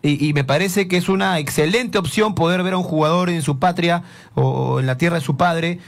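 Male sports radio host, high voice, chatty and meandering. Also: fairly quick, vocal fry. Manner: lively, rambling questions with many restarts. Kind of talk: live radio sports interview. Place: radio studio.

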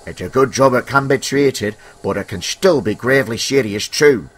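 A man's voice: mid-range voice, moderate pace, fairly bright tone, pitched above the performer's own voice, natural voice. A man voicing a stern, authoritative railway controller in a children's story, with music behind. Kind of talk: children's storybook character acting.

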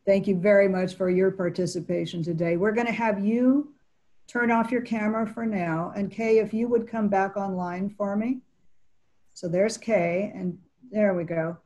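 A woman moderating an online panel from her living room, asking measured questions and thanking each guest before moving on. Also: medium pitch, fairly even intonation, darkish tone. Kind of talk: interview questions. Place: home living room, indoors. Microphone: video call.

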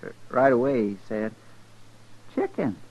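An actor playing a deferential, easily distracted marshal's helper with a rural American accent in a 1950s radio western. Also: male voice, mid-range voice, huge pitch swings, measured pace, darkish tone. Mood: folksy, distracted. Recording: muffled vintage radio recording.

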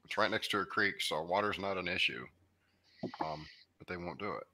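A man's voice: deep voice, a little fast, pushed and bright. A man on a video call speaking bluntly in plain, casual talk.

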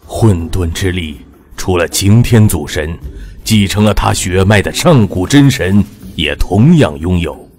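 An actor playing an old bearded male immortal, calm and scheming, with slow, measured counsel. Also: low-pitched, slight background noise. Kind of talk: audio drama voice acting.

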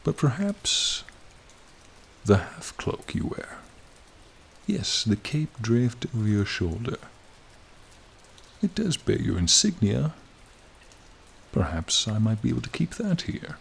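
Guy doing a charming old dragon for asmr, slow n gravelly, soothing, courtly, bit teasing. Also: mid-range voice, very animated, exaggerated voice. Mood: gracious, warm. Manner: formal, gently teasing, unhurried. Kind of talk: ASMR roleplay, slow intimate monologue. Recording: rain and cave ambience behind.

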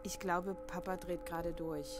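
A woman's voice: on the low side, little pitch movement, moderate pace, over music, lightly acted. A woman playing an emotional, blunt sixteen-year-old daughter in a horror audio drama. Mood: quieter, blunt.

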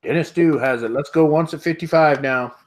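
A man's voice: a little high, fairly quick. Man calling bids on a video call. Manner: steady repetitive bid calling, laughs often. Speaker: auctioneer host. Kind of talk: online auction calling. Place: home room with sports memorabilia. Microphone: earbud headset on video call.

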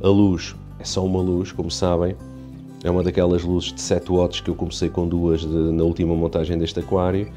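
A man's voice: low-pitched, monotone, normal speed, mellow, soft background music. A Portuguese man with a European Portuguese accent, chatting casually and rambling a little as he walks through an update of his home aquarium. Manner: chatty, rambling, many restarts and fillers. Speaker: aquarium hobby youtuber. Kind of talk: casual walkthrough narration.

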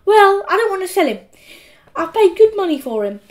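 A woman's voice: very high voice, big pitch swings, brisk, pitched above the performer's own voice. A woman voicing a bossy, moody young girl in a storybook, imperious and snappy. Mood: stubborn.

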